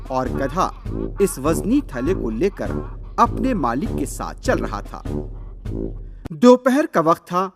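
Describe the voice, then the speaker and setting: A man tells a children's moral tale in a slightly high voice. His delivery is deliberate and very lively in pitch, carefully scripted. Music plays clearly underneath. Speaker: storyteller. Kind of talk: scripted children's fable narration.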